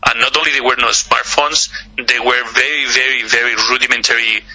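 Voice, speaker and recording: A man from Spain with a Spanish accent, a guest answering interview questions over a voice call app, often halting and repeating words. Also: mid-range voice, steady tone, pushed and bright, poor audio. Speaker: guest, accelerator partner.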